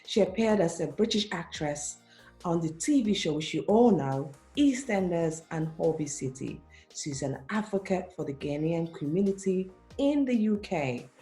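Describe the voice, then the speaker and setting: A woman's voice: fairly low pitch, lively intonation, moderate pace, mellow. A woman hosting a conversation show, giving scripted introductions of her guest and asking interview questions. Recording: roomy, echoing recording with music.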